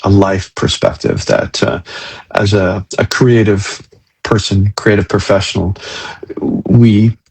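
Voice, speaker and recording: Gravelly, husky man, reflective interviewer. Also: lowish voice, fairly even intonation, slow, a little mellow. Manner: hesitant, reflective, repeats words while searching. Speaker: interview host. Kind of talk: conversational interviewing.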